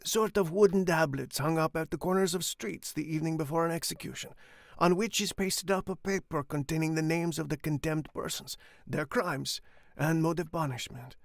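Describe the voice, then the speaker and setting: A man's voice: on the high side, moderate pace, vocal fry, dry recording. A man voicing a fawning, self-satisfied old Italian innkeeper with a put-on Italian accent and a formal, obsequious manner. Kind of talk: audiobook character reading.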